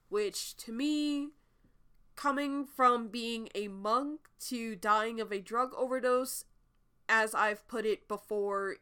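A woman's voice: fairly high pitch, fairly bright tone, clean tone. A young woman from New England hosting a true crime podcast, chatty with frequent ums, her voice congested from a cold. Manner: chatty with frequent ums, laughs between lines. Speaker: podcast host.

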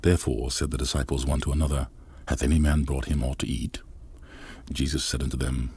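A man with a gravelly, husky voice reading scripture aloud in archaic wording. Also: rumbling low, dark tone. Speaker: Bible reader.

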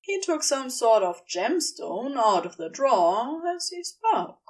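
A woman's voice: high-pitched, big pitch swings, slow, recorded from a distance. A woman reading fiction aloud as a scripted story narrator. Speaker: audiobook narrator.

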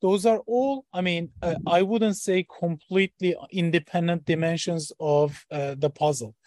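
A man's voice: sits high, steady tone, normal speed, a little mellow, no echo, clean studio sound. A man in his forties from Turkey, a startup founder and professor, answering interview questions in a hesitant way with many fillers and restarts. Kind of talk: interview guest.

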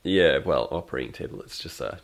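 A man's voice: rumbling low, expressive, fairly quick, gravelly. A man in casual chat, retelling a movie plot in a loose, meandering way with laughs and quoted lines.

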